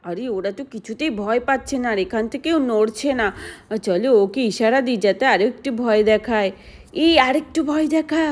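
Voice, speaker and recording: A woman voicing a mischievous, scheming little village girl in a doll story, excitable, teasing and full of exclamations. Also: fairly high pitch, a little fast, pitched below the performer's own voice, natural voice.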